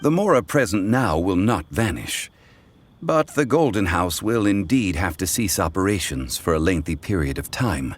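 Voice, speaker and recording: An actor playing an ancient, scholarly god in human guise in a video game, formal, measured and erudite. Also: male voice, deep voice, sing-song, slight background noise. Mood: measured, knowing. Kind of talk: video game character acting. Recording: music behind the voice.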